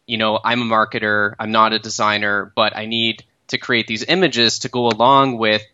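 A Canadian man in his thirties tells his founder story as a podcast guest, with a bright, smooth, rather flat-pitched voice. He talks in a loose, casual way full of fillers and restarts. The sound is dull and lo-fi, as if from a remote call. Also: normal speed, no room sound. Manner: relaxed, lots of fillers and self-restarts.

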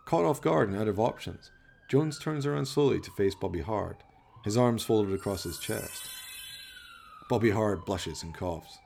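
A man's voice: lowish voice, moderate pace, creaky, a little mellow, a little hiss or hum. A man narrating a radio play as dramatic scripted storytelling.

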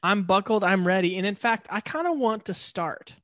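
A man co-hosting a casual podcast, playful and teasing, quick to react and laugh. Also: sits high, expressive, a bit bright, no room sound, clean studio sound. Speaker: podcast co-host. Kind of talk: casual chat.

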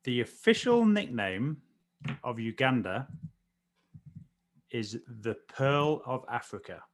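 A man presents a quiz round at a slow, deliberate pace. His voice rises and falls in lively swings as he lands each fact. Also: medium pitch, noisy background. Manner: announces facts with slow emphasis.

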